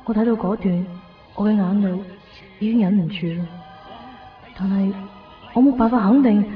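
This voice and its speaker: A woman's voice: mid-range voice, normal speed, lightly acted. An actress playing a yearning, self-doubting young woman with a Hong Kong Cantonese accent in a radio drama. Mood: tender. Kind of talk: radio drama inner monologue. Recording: dull 1990s radio recording. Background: faint music.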